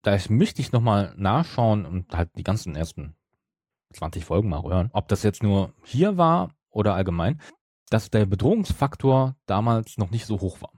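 A man's voice: on the low side, very animated, normal speed, a little mellow, husky, no echo, clean studio sound. A man in casual podcast chat, musing hesitantly and hedging as a co-host.

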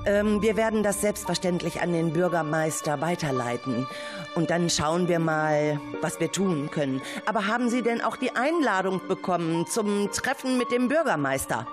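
A woman's voice: fairly low pitch, animated, moderate pace, over music, lightly acted. A young schoolgirl playing a polite, soothing town hall clerk in an amateur radio play. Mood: polite, placating. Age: child. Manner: soothing, officious.

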